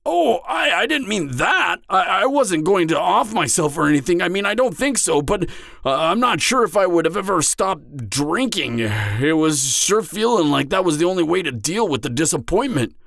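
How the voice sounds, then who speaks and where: Guy acting as a genderfluid half-giant merc, vulnerable and halting, gravelly creak in the voice, super dry close sound, confessional roleplay. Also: sits high, big pitch swings, a bit bright, dry recording, full character voice. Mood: flustered, wistful. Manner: hesitant, halting, stammering. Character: genderfluid half-giant mercenary, vulnerable, self-doubting, earnest.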